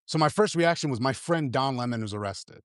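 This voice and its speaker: A man appearing as a journalist guest, speaking in an animated, emphatic way in casual conversation. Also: medium pitch, brisk, no room sound, clean studio sound.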